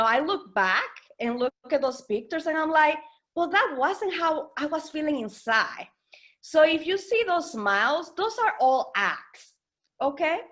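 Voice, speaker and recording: A woman from the Dominican Republic telling her personal story as a webinar guest speaker, hesitant and reflective, with many pauses and fillers. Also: sits high, fairly bright tone. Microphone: video call.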